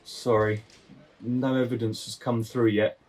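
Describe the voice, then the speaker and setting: A man's voice: mid-range voice, level tone, unhurried, darkish tone, close to an everyday voice. A man playing a mild, considerate police detective in a naturalistic audio drama. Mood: gentle, official. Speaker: actor in an audio play.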